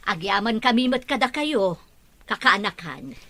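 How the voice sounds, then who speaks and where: A woman's voice: fairly high pitch, animated, measured pace, a bit bright. A woman playing a caring middle-aged aunt and mother in an Ilocano radio drama. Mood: encouraging.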